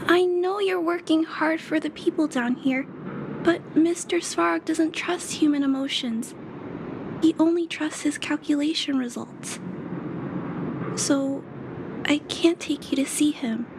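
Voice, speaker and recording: A woman's voice: high voice, steady tone, slow pace, pauses often, noisy background. A woman playing a timid, earnest young girl in a video game, polite and hesitant but firm. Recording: clear background music under voice. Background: game music.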